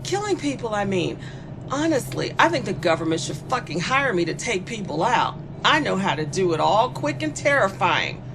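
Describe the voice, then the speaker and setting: A woman playing a spoiled, bored young female killer in an audio horror monologue, taunting and conversational, with gleeful, drawn-out musing. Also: mid-range voice, very animated, creaky, noisy background. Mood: gleeful menace.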